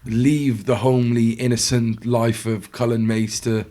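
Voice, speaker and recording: A man's voice: medium pitch, flat delivery, unhurried, warm tone. A young man from Wales with a South Welsh accent, a podcast guest telling his life story in a loose, rambling way full of restarts and fillers. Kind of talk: casual storytelling chat.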